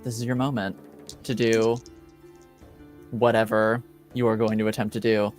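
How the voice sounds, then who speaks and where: A man running a tabletop roleplaying game, narrating in halting, improvised phrases. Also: fairly low pitch, level tone, faint music behind. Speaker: game master.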